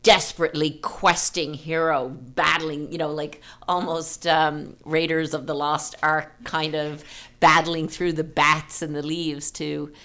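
A woman with a slightly low voice hosts a literary discussion. She is effusive and works out her thoughts aloud as she goes. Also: little pitch movement, unhurried, fairly bright tone. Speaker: podcast host, writer.

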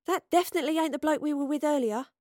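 Woman doing a feisty young captive girl, blunt street talk, pitched high, dry close sound. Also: big pitch swings, moderate pace, dry recording. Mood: blunt, defiant. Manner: colloquial, insistent, indignant.

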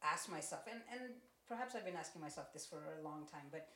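A woman in her fifties, from the Seychelles and a native French speaker, is a guest on a podcast. Her voice sits low. She tells her story in a steady, matter-of-fact way. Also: fast, a little hiss or hum. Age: 50s. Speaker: interview guest. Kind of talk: casual interview chat.

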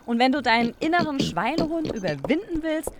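A woman performs in a children's science audio play, explaining things playfully. Her voice is a bit high and very expressive in pitch. There is slight noise in the background. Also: a little fast. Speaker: podcast co-host.

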